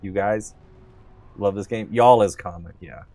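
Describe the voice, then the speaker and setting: A man's voice: lowish voice, measured pace, darkish tone, dry recording. Streamer, male, Southern-raised but neutral-sounding, rambling and casual. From Southern United States. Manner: rambling and chatty, with many fillers. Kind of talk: casual gameplay commentary. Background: faint game music.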